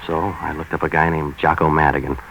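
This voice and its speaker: An actor playing a hard-boiled, wisecracking private operator in a deadpan, sardonic, clipped 1940s radio noir style. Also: male voice, extremely low pitch, brisk, creaky, full character voice. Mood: deadpan, brooding. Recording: noisy vintage 1940s radio recording.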